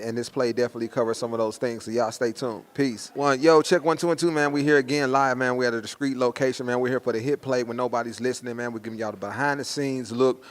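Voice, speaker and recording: A man hosting a promotional interview in slangy hype talk, often ending phrases with "man". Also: medium pitch. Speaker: host.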